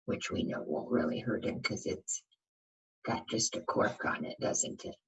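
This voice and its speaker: A woman reading a picture book aloud to young children like a teacher, expressive, often asking the listeners questions. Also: rumbling low, measured pace, husky. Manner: asks the listeners questions, dramatic emphasis.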